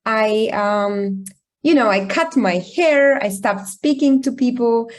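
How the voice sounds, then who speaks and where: Brief: woman, a bit higher pitched, candid storyteller, hesitant ums, video call sound. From Bulgaria. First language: Bulgarian. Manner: reflective, thoughtful, with frequent pauses and ums. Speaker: guest speaker, founder. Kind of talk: candid personal storytelling in an online talk.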